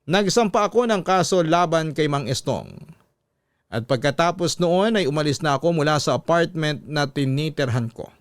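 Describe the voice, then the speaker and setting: A man's voice: a little high, expressive, normal speed, no room sound, clean studio sound. A man narrating a scripted first-person story as the host of a radio drama.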